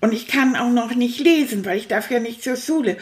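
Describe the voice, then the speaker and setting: A woman's voice: fairly high pitch, a little fast, lightly acted. Manfred, a chatty toy rat voiced by a woman. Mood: annoyed. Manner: excitable, impatient, chatters on, stretches words. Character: boastful chatty male toy rat, childlike. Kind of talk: children's audio show monologue.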